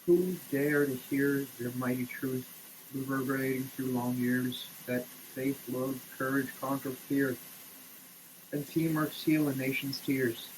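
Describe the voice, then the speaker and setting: A man giving a theatrical, declamatory dramatic reading, swinging between hushed delivery and shouting, as a comic performance. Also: medium pitch, little pitch movement, unhurried, noisy background. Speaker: sketch comedy performer. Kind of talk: dramatic reading of a product label. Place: shower.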